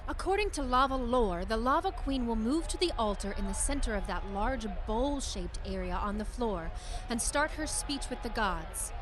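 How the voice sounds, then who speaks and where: A woman playing a bossy, easily exasperated adventuress in a radio drama, brisk and quick to take offence. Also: a little high, lively intonation, close to an everyday voice. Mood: explaining. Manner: brisk, indignant, expository.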